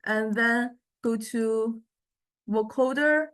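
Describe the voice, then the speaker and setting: Higher-pitched woman lecturing, halting and hesitant. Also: a bit bright. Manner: hesitant, halting, often repeating words. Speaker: music technology lecturer. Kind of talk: informal software demonstration lecture.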